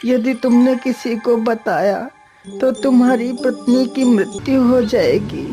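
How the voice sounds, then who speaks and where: A woman's voice: a little high, slow, mellow, faint music behind. A woman voicing a stern, solemn male holy man in a cartoon tale. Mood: stern warning.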